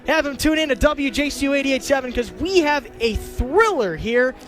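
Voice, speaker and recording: Young man, extremely high voice, radio football announcer, live play-by-play, clipped descriptive phrasing. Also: animated, normal speed, fairly bright tone, faint music behind. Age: young adult. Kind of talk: live play-by-play sports commentary.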